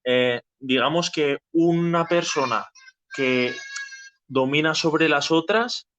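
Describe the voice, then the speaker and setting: A man from hosting a casual discussion, explaining in a halting way as he searches for phrasing. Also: a little high, a bit bright, a little hiss or hum. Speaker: host of a political audio discussion. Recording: dry, slightly dull lo-fi audio.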